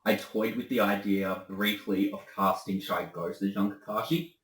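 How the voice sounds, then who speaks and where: A man hosting a casual interview, relaxed and agreeable, often answering with short yeahs. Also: fairly low pitch, moderate pace, roomy sound. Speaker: interview host. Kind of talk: casual conversation.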